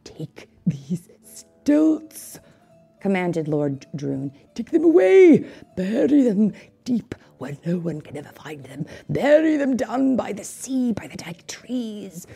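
A woman's voice: medium pitch, very animated, slow, warm tone, soft background music, full character voice. A woman doing a hissing, scheming lord. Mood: commanding. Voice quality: hoarse hissing whisper. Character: scheming, harsh male royal adviser.

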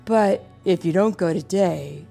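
A woman voicing a patient father in a storybook, reasoning in a measured way. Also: on the low side, gravelly, warm tone, faint music behind. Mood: patient, firm.

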